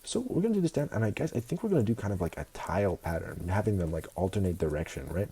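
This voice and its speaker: A young man with a cold narrates his game build, his nose stuffed up and his low voice dark and husky. He gets excited easily and keeps repeating words as he chats along. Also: quick pace. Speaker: gaming video host. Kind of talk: casual Minecraft commentary.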